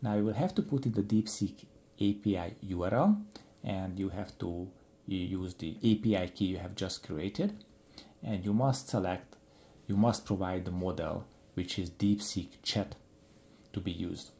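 A middle-aged man presenting a software tutorial in a deliberate, explanatory way. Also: sits low, gravelly. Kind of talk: scripted software tutorial narration.

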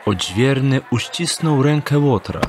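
A man narrating an audio drama in a measured, deliberate storytelling manner. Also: mid-range voice, animated, noisy background.

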